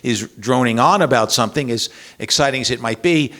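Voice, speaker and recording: A man's voice: medium pitch, brisk, pushed and bright. A man in his sixties, a hockey play-by-play announcer, talking conversationally on a panel and illustrating points with acted-out dialogue.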